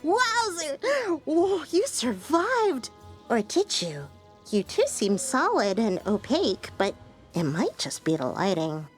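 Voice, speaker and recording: A woman voicing a quirky, excitable, scatterbrained know-it-all in a video game, rambling with exaggerated emphasis. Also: a little high, slow, faint music behind, exaggerated voice. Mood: quirky, excited. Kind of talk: video game character acting.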